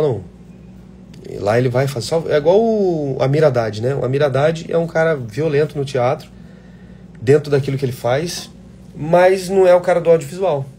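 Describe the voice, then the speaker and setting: A man who works as an actor speaks to aspiring performers in a loose, live-chat way, blunt and fired up, cursing freely. His voice is mellow and middle-pitched. A faint hum sits under the recording. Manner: blunt, swears freely, passionate. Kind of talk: casual live chat answering viewer questions.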